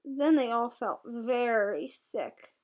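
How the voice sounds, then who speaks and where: A woman tutor reading a storybook aloud to young children in an expressive way. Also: a little high, takes it slowly. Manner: expressive, voices the characters' dialogue.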